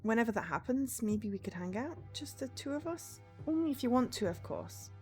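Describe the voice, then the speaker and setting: A woman voicing a courteous, hesitant female friend in a visual novel, speaking gently and politely. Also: fairly high pitch, animated, brisk, soft background music, pitched above the performer's own voice, lightly acted. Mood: shy.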